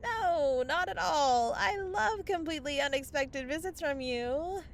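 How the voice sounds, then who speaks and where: A woman's voice: sits high, unhurried, a little hiss or hum. A woman playing a sarcastic, exasperated young fairy in a mockumentary-style comedy audio drama, with dramatic, sardonic delivery. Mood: strained, polite.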